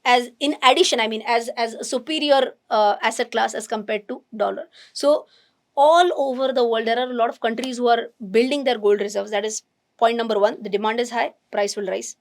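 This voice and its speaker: Woman, Indian English accent, hoarse voice, fairly high pitch, animated finance teacher, emphatic. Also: brisk. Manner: animated, emphatic, thinks aloud with restarts. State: hoarse, nearly lost voice. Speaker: finance educator. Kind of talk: explaining investing to camera.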